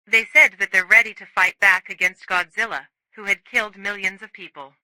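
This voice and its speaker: News reporter played by a man for horror bulletin: high male voice, forceful and sharp, dry radio-like sound. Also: fairly even intonation, a little fast, dry recording, low-quality recording. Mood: grave, reporting. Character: news reporter announcing a monster disaster. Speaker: news narrator. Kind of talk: scripted news narration.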